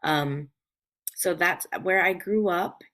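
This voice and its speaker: Low-pitched woman telling her story casually. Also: slow pace, bright, forceful tone. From Hawaii, USA. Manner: reflective, informal, uses "like" often. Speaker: guest, life coach and former therapist.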